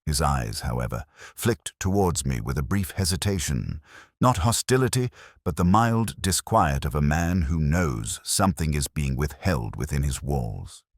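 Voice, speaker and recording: A very deep-voiced, middle-aged detective narrates his own case. He is brooding and scholarly, phrasing things with literary solemnity. His intonation moves expressively within that low register, and the recording is dry and close. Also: expressive, unhurried, dry recording, exaggerated voice. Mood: brooding, reflective. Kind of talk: scripted first-person detective narration.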